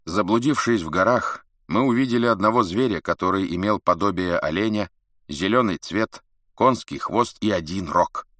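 A man's voice: sits low, normal speed, a bit bright, no echo, studio quality. A man voicing an earnest Mongol warrior reporting to his ruler in a historical storybook. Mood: earnest, awed.

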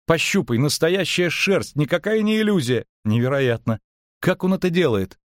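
A man's voice: a little high, animated, moderate pace, no room sound, clean studio sound, pitched above the performer's own voice, lightly acted. A man voicing an amazed, theatrical, flippant male bard who speaks in exclamations in a fantasy audiobook. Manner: exclamatory, astonished. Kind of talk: audiobook character acting.

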